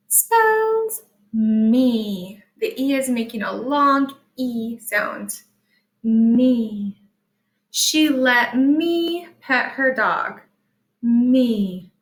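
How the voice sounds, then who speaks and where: Woman, higher-pitched, bright crisp tone, unhurried, patient primary teacher, sing-song emphasis, careful enunciation. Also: reverberant. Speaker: early-grade reading teacher. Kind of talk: sight-word lesson and picture book read-aloud for young children.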